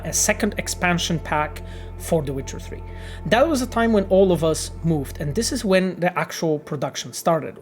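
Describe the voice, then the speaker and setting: Halting, earnest male game developer. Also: sits high. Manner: earnest, halting explanations with many restarts. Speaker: game developer streaming and answering chat. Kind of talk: casual livestream Q&A.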